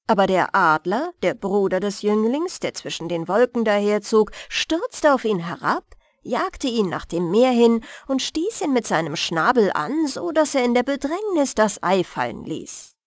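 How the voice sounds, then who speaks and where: A woman narrating a bedtime fairy tale as an audiobook, careful and expressive. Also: mid-range voice, measured pace, no room sound, clean studio sound.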